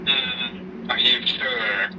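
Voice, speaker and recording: A man playing a caring, gently probing father in an amateur audio drama, with a halting, tentative delivery. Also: medium pitch, steady tone, recorded from a distance, highs cut off, background noise. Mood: suspicious.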